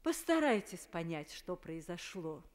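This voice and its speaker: A woman's voice: fairly low pitch, very animated, unhurried. An actress playing a worried, tender mother writing to her sons. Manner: tender, pleading.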